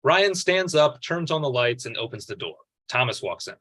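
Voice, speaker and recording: A man's voice: medium pitch, very animated, moderate pace, sharp tone. A man reading out screenplay stage directions as scripted narration at a table read.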